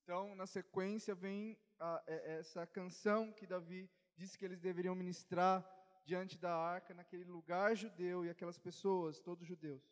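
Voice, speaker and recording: A man interpreting a sermon live, turning each phrase over quickly and fluently. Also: high voice, little pitch movement. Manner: quick, fluent rendering with fillers. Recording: long hall reverb.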